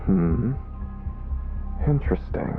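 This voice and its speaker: A man's voice: low voice, lively intonation, slow pace. A man playing a cold, curious villainous boss in a video game. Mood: intrigued. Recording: tinny phone-like sound under faint music.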